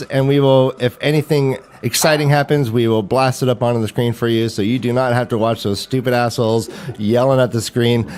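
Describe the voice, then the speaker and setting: A man hosting a comedic fantasy football livestream, joking and reading off stats. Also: mid-range voice. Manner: animated, joking, reads stats. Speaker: livestream host.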